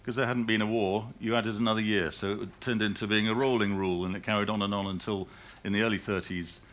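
A man's voice: lowish voice, level tone, quick pace, fairly bright tone. A middle-aged British man speaking on a conference panel, rambling and restarting his sentences with laughing asides. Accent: British English. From United Kingdom. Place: conference hall stage.

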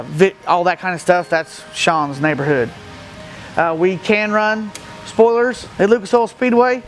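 A man's voice: high-pitched, slight background noise. A middle-aged man from the Midwest, a stock car racer, explaining his car in a plain-spoken, matter-of-fact way with many fillers, recorded in an open metal shed by a camera a few feet away. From Midwestern United States. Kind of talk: casual walkaround explanation. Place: open metal shed with gravel floor, outdoors nearby.

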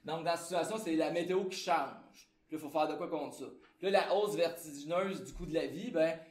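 Give me a man with a Québécois accent explaining his translation work casually, somewhat high-pitched, in a reverberant room. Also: a little fast, a little mellow. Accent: Québécois French. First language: French. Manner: loose and conversational, many fillers. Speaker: translator giving a talk. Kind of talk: informal conference talk.

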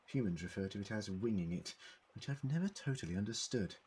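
An actor playing an eccentric, clever time-travelling man in an audio drama, deliberate and formally worded as he explains. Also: fairly low pitch, lively intonation, darkish tone, slightly rough. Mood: measured, explaining.

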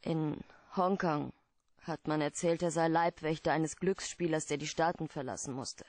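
An actress playing a guarded, troubled client pleading for help in a German radio play, hesitant and earnest. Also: female voice, sits low, steady tone, moderate pace, a little mellow, no room sound, close to an everyday voice.

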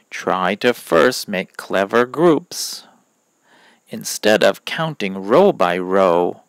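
A man reading rhyming verses aloud from a children's picture book in a deliberate, expressive way, pausing between phrases. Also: mid-range voice, creaky, no room sound. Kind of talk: children's story read-aloud of rhymes.

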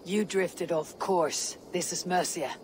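An actor playing a stern young Viking clan leader in a video game, firm, measured and authoritative. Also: male voice, high voice, steady tone, noisy background. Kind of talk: dramatic video game acting.

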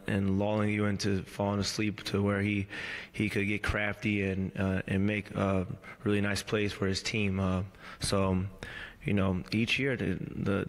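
A man in his thirties gives postgame answers in a low, even voice. He speaks slowly and in a measured way, pausing and restarting often. The table mic carries a long reverb from the press room. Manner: measured, halting, many fillers and restarts. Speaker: pro basketball player at postgame press conference. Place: press room before a team backdrop. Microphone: table mic on a stand.

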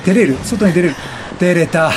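A man hosting a let's play with excited, exclamatory commentary, often repeating words in bursts. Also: sits high, normal speed, background noise. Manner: laughs often while talking.

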